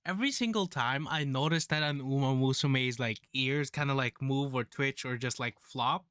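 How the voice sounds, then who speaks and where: A man hosting a reaction video, with a slightly raspy voice and a rambling, excitable, slangy way of talking. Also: on the high side, no echo, clean studio sound. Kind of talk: casual reaction commentary.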